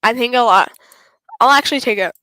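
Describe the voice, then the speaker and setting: A woman streaming a game, her voice hoarse and going raspy, rambling and often apologizing. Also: on the high side, a little fast, bright, forceful tone, no room sound, low-quality recording. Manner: rambling, apologetic, self-interrupting. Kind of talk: casual gameplay stream chat.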